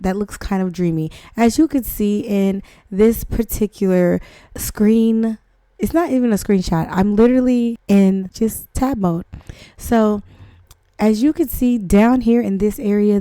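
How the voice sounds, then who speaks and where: Hoarse young woman, mellow tone, dry close mic; rambling, self-deprecating game tutorial host. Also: mid-range voice, no room sound. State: hoarse from flu, voice not back. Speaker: gaming channel host. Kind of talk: casual game tutorial narration.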